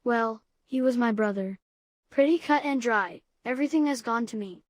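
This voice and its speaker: A woman playing a brisk, practical, optimistic new media boss in a scripted audio comedy. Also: a little high, no echo, clean studio sound, lightly acted. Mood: matter-of-fact.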